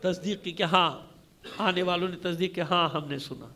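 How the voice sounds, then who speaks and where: A man's voice: sits high, normal speed, bright, forceful tone. A man with a Pakistani Urdu accent delivers a mourning sermon as a cleric, telling the story in a dramatic, rhetorical way with repeated phrases. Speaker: Shia cleric reciting a majlis. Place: congregation hall.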